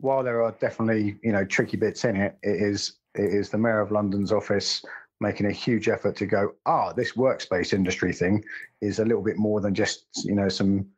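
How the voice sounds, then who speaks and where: A man's voice: on the low side, fairly even intonation, fairly quick, husky. A man co-hosting a podcast, rambling in casual chat with wry asides and self-corrections. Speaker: podcast co-host. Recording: dull lo-fi sound.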